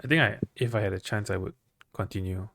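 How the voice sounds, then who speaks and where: A man chatting casually as a podcast host, sounding quiet and a bit away from the mic. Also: on the low side, fast talker, vocal fry, no echo, studio quality. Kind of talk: casual chat.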